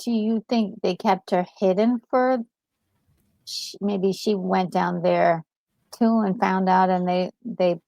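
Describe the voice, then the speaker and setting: A woman with a gravelly edge talks slowly and haltingly, thinking out loud in casual gaming chat. The sound is close and dry. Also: medium pitch, steady tone, dry recording, low-quality recording. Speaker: gaming co-host.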